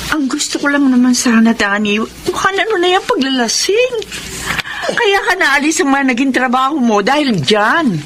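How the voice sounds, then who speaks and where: High-voiced reproachful wife. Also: female voice, very animated, normal speed, background noise. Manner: reproachful, nagging. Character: disapproving, worried wife of a drinking schemer. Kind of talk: radio drama acting.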